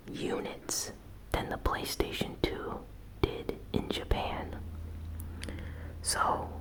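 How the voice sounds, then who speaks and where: A woman hosting an ASMR gaming news recap in a breathy whisper, with soft, unhurried, informal commentary. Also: low-quality recording.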